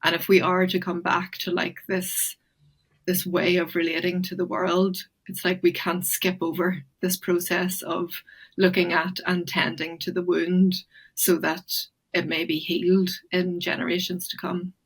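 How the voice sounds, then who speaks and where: Low, even-toned reflective woman. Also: measured pace, husky. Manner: thoughtful, hesitant, many fillers and restarts. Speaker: guest. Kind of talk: reflective conversational interview.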